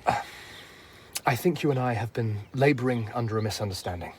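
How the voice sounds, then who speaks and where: A man's voice: medium pitch, a little fast, darkish tone, a little hiss or hum, lightly acted. A man playing an earnest, troubled young doctor in a radio drama, polite but pressing. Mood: tense, insistent. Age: young adult.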